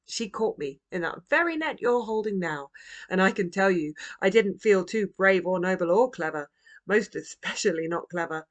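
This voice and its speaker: Woman reading as a gentle, wistful old grandfather. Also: mid-range voice, animated, close to an everyday voice. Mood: amused, reminiscing. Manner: quiet, measured, tender. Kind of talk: children's storybook reading.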